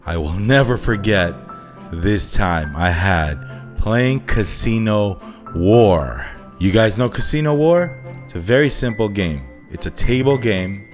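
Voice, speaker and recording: A man's voice: fairly low pitch, expressive, takes it slowly, soft background music. A middle-aged man telling a casual storytime monologue, chatty, often checking in with "okay?" and "you know". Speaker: storyteller, channel host.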